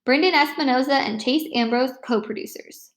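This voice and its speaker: A woman reading a children's novel aloud as an expressive first-person storyteller. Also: sits high, moderate pace. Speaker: read-aloud narrator.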